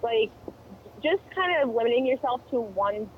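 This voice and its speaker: A woman's voice: a little high, animated, moderate pace, phone-quality sound, noisy background. Opinionated woman in casual podcast chat. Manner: opinionated, rambling with many fillers. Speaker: podcast co-host.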